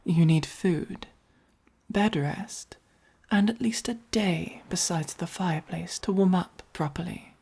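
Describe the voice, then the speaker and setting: A woman with an English accent voicing a haughty, possessive but caring vampire in a soft-spoken ASMR roleplay, with a breathy, commanding delivery. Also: on the low side, full character voice. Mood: protective, soothing. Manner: slow, deliberate, commanding.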